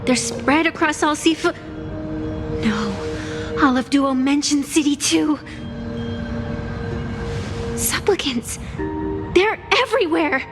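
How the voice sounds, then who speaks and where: Casting: a solemn young lady judge in a game, high-pitched and formal, music behind. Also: expressive, slow pace, long pauses. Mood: puzzled, uneasy. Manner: ceremonial, earnest. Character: solemn young female judge invoking cosmic law. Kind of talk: scripted game voice acting.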